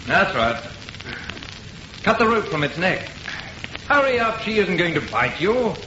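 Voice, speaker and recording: A cheerful, skeptical gentleman of means in a vintage horror radio drama. He declaims with breezy confidence and theatrical polish. The recording is muffled and noisy, with an echoey ring. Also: high voice, sing-song, a little slow. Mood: impatient, commanding. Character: cheerful, confident skeptical gentleman art buyer, smitten with a mannequin. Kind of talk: old-time horror radio play acting.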